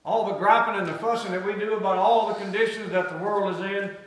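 A man's voice: sits high, fairly even intonation, fast. A middle-aged man, preaching a sermon and drawing answers from the congregation. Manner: preaching with call-and-response. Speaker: pastor. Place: small church sanctuary. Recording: echoey church from far off.

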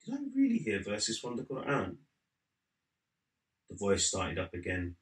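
Man, low pitch, crisp forward tone, measured literary read-aloud, roomy indoor sound. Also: a bit bright. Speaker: author reading from own book. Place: living room at home, seated in an armchair.

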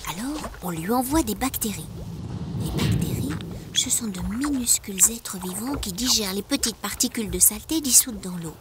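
A woman's voice: mid-range voice, lively intonation, moderate pace, background noise, close to an everyday voice. A woman voicing a tiny, friendly spider who enthusiastically guides children and explains nature in an educational cartoon. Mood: explaining. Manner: enthusiastic, instructive, playful. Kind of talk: animated educational cartoon acting.

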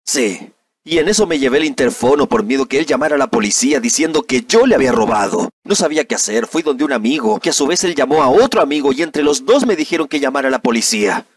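A man plays an aggrieved young man giving a press interview in a comedic amateur dub. His husky voice swings widely in pitch, melodramatic and indignant, in neutral Latin American Spanish. It sounds slightly away from the mic. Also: lively intonation, quick pace, no echo, distant mic. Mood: agitated recounting. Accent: Latin American neutral dubbing Spanish. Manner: melodramatic, indignant, dubbed-film diction. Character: aggrieved young man recounting a humiliation to reporters.

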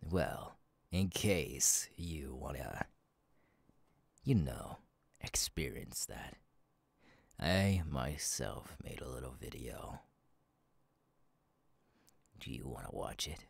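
A man voicing a sadistic masked killer in an ASMR roleplay, husky and taunting, slow and halting, often dropping to a whisper. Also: a bass voice, animated, no room sound, full character voice. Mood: coldly taunting. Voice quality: husky, gravelly. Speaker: voice actor, ASMR artist. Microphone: close, dry microphone.

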